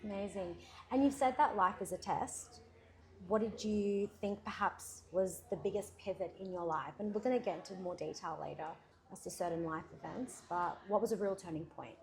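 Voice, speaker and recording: A woman hosting an interview in a café, asking measured questions and often giving short affirmations. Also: medium pitch, a little mellow, low-quality recording. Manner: attentive, frequent short affirmations. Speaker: interviewer, host.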